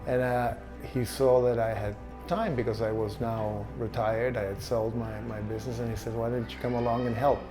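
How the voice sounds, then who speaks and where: An older man from Chile who runs an orphanage, speaking in a halting, earnest way in a documentary interview. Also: mid-range voice, level tone, over music. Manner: halting, earnest, searching for words. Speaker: orphanage director.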